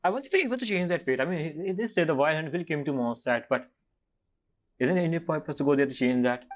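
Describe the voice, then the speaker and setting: A man's voice: fairly high pitch, animated. Man reacting to a game, slightly muffled. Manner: halting, non-fluent English phrasing. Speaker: game streamer. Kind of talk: casual gameplay reaction commentary.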